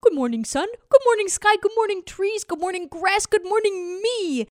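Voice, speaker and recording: A woman voicing a talkative, excitable young male turtle who rushes his words together. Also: extremely high pitch, dry recording, clean studio sound, voice pushed up. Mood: cheerful.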